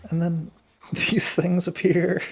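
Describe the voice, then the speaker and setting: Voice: medium pitch, level tone, slow pace, creaky, slight background noise, poor audio. A streamer giving casual, dry, joking game commentary with some swearing. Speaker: gaming streamer. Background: game music.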